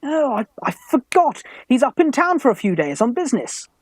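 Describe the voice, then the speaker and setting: An actor playing a timid, earnest young English gentleman student in a theatrical Victorian farce, polite, anxious and hesitant. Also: very high voice, big pitch swings, a little fast, no room sound, clean studio sound, full character voice. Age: young adult. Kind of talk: theatrical radio farce acting.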